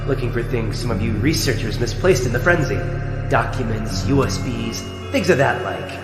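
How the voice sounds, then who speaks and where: A man plays a sardonic mutant sea creature held captive. His delivery is theatrical and drawling, swinging widely in pitch. It echoes in long reverb over music, in the manner of a comic dub. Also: lively intonation, normal speed, lightly acted. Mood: smug, sly. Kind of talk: fan comic dub acting.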